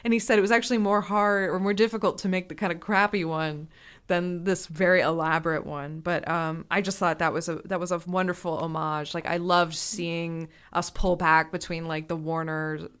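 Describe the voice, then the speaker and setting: Chatty woman podcast host, smooth voice. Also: lowish voice, level tone, normal speed, fairly bright tone. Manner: chatty, fillers, sets out production details. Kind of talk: casual film discussion.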